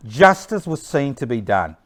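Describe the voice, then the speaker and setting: A man's voice: mid-range voice, very animated, a little slow, a bit bright. A man playing a callous wartime official in a stage play, speaking with cold contempt.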